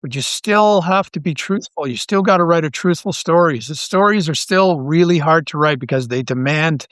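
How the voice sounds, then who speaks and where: A Canadian man talking casually as an interview guest, earnest and halting as he thinks aloud. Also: a little high, a bit bright, no room sound. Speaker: interview guest, audio drama writer and creator.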